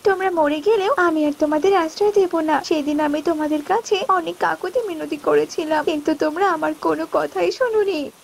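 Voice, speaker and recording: Woman voicing kind mother bird, cartoon fable, very high pitch, earnest, emotional, put-upon. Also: fairly quick, creaky, few pauses, slight background noise. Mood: indignant. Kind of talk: animated folk tale voice acting.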